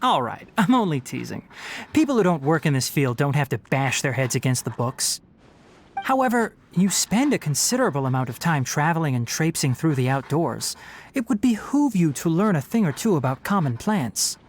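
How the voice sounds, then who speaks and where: A young fox-eared forest ranger from a game, pitched low and swinging expressively through each phrase. The delivery is scholarly and articulate, like a teacher with a dry, teasing streak, over slight background noise. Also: female voice, very animated, normal speed. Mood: lecturing, teasing. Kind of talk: scripted game character voice lines.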